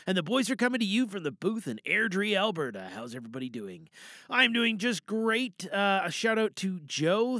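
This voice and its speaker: A man's voice: a little high, sharp tone, no echo, clean studio sound. A man hosting a podcast in a casual, playful, chatty way, often interrupting himself. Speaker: podcast host. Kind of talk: casual chat.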